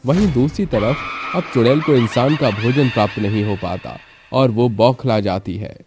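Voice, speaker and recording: A man's voice: medium pitch, moderate pace. A man giving scripted narration for a storybook tale. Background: music.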